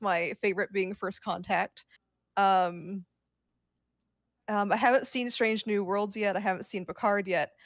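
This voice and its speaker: A woman with a medium-pitched voice talks casually on a fan podcast as one of the panelists. The sound is very dry, with no room on it. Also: dry recording.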